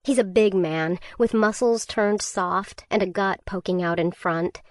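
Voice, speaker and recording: A woman narrating a first-person survival thriller audiobook in a tense, measured way. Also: mid-range voice, no echo, clean studio sound.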